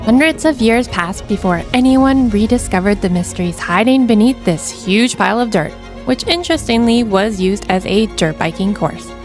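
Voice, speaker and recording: A woman's voice: fairly high pitch, moderate pace. A woman narrating a travel vlog in an upbeat, scripted tour-guide voice-over. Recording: voice-over with music behind.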